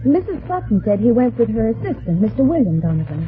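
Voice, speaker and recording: A woman's voice: fairly high pitch, sing-song, fast. An actress playing a helpful, forthcoming woman secretary in an old-time radio drama. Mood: helpful, informative. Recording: tinny band-limited old radio recording.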